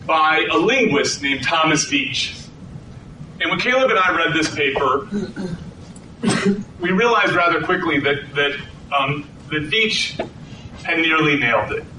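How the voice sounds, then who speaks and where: A middle-aged man giving a conversational lecture to an audience, with frequent restarts. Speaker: researcher giving a talk. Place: hall with an audience. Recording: echoey hall, distant mic, rumbling noise.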